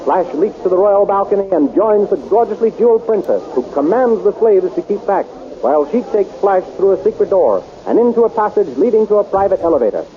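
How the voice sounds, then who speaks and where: A man's voice: sits high, expressive, a little fast, muffled recording. A man narrating a 1930s radio serial in a dramatic, declamatory style, on a crackly old recording.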